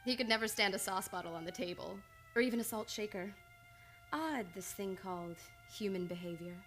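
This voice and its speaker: A woman playing a young, earnest psychiatrist with formal, measured speech in an old-time radio play. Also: mid-range voice, music in the background, lightly acted. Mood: calm, clinical. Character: young, reserved, earnest female psychiatrist, precise and clinical.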